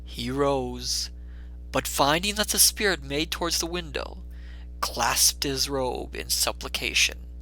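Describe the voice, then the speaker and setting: A man reads a classic tale as a dramatic audiobook narrator. His voice sits at a medium pitch and has a very bright, forceful, sharp edge. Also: takes it slowly, poor audio.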